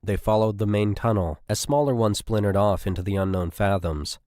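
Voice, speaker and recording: A man's voice: lowish voice, normal speed, a little mellow, no echo, studio quality. A man reading scripted military science fiction as an audiobook narrator.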